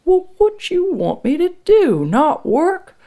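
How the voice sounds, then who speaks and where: A woman voicing an angry, suspicious ex-military husband with a loud, barking captain's manner in a narrated story. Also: low voice, sing-song, slow, full character voice. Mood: defensive anger.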